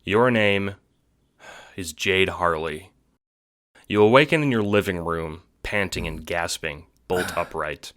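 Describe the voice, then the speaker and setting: A man giving a scripted, dramatic narration for an audio drama, with deliberate phrasing. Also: sits low, fairly bright tone.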